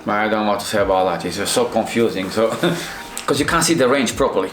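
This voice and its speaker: A middle-aged man from Romania with a Romanian accent, a Krav Maga instructor reacting to fight scenes in halting, restarting English. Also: on the low side, echoey room, over music. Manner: halting non-native phrasing with many restarts.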